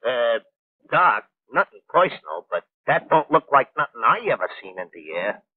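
Dopey cocky 1940s cartoon gunner, rough slangy voice, pitch goes everywhere, tinny old film audio. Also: male voice, big pitch swings, moderate pace. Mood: doubtful. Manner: slangy, folksy, doubting. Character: cocky, slow-witted, skeptical male bomber gunner.

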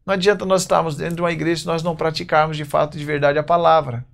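A man in his thirties with a Brazilian accent, preaching a sermon at a church pulpit, reading verses slowly and then speaking in quick bursts. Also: on the high side, level tone, vocal fry. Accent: Brazilian Portuguese. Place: church stage at a wooden pulpit.

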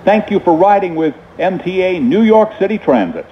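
A man's voice: sits high, big pitch swings, moderate pace. A man reading scripted train announcements as a transit announcer, emphatic, instructive and courteous. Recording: muffled recording with train noise.

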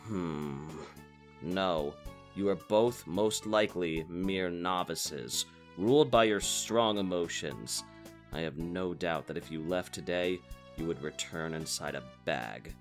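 A man's voice: low voice, slow pace, soft background music. A man voicing a stern, formal male ant knight in a fan dub of a video game.

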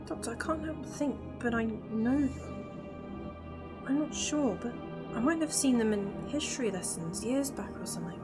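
A woman's voice: fairly high pitch, animated, normal speed, lightly acted. A woman as an uneasy companion, over music. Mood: worried. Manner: questioning, uneasy. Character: uneasy, questioning female companion of a time-traveller. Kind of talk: sci-fi audio drama acting.

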